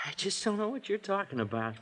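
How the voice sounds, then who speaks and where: A man's voice: high voice, big pitch swings, normal speed, a bit bright. An actor playing a scared teenage boy who acts tough, sullen and defensive in a 1950s radio drama. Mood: evasive, nervous. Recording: vintage 1950s radio recording.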